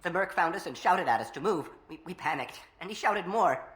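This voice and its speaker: An anxious, protective civilian from a video game. He speaks earnestly and plainly, with pitch that moves around a good deal. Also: expressive, brisk, fairly bright tone. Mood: shaken, worried.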